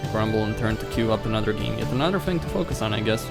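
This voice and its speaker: A man's voice: fairly low pitch, expressive, very fast, gravelly. Man reading a game story, music behind. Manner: stumbles over words while reading. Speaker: let's play host. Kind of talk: reading a visual novel aloud with side comments.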